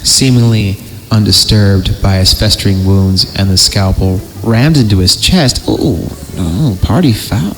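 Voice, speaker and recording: A man's voice: fairly low pitch, a little slow, a bit bright, poor audio. A man hosting a horror story podcast, narrating theatrically and breaking off for joking asides when he stumbles. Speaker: podcast host reading horror stories.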